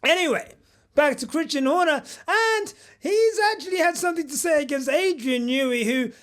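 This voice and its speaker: Mock-pompous man, high voice. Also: normal speed, fairly bright tone. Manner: mock-pompous, boastful, jokey asides. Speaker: comedic news host. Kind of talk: parody news commentary.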